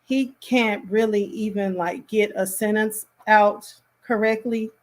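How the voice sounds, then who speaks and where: Slow-talking woman, casual commentary. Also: medium pitch, steady tone. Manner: appalled, emphatic, halting delivery. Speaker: reaction commentator hosting.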